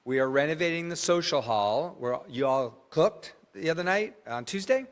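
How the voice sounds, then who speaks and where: A man's voice: a little high, expressive, moderate pace. Chatty man making synagogue announcements. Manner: chatty, enthusiastic, joking asides. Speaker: synagogue president giving announcements. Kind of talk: congregational announcements. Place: large synagogue sanctuary.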